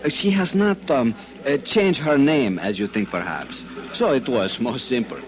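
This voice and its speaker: An actor playing a courtly, smitten Panamanian police captain with a Latin American Spanish accent, speaking ornate, halting English in 1950s radio drama style. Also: male voice, medium pitch, lively intonation, natural voice. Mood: helpful, hesitant. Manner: halting, ornate foreign English with frequent hesitations. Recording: muffled old radio broadcast.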